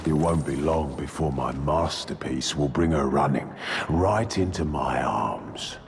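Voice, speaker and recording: An actor playing a sinister, taunting serial killer in a video game, menacing and theatrical. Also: male voice, rumbling low, a little slow, gravelly, noisy background, full character voice. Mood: gloating menace. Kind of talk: dramatic video game villain acting.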